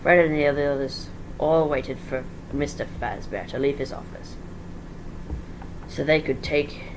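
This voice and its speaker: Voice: mid-range voice, unhurried. An amateur narrator giving a dramatic story reading, informal, stumbling over words and correcting along the way. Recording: faint background noise.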